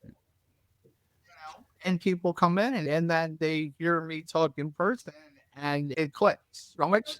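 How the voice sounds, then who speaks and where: A woman with a deep voice, chatting as a guest on a podcast and telling stories in a friendly, talkative way. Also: a little slow, long pauses, dry recording. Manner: chatty, tells anecdotes freely. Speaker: shop staff member and guest. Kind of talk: casual podcast chat.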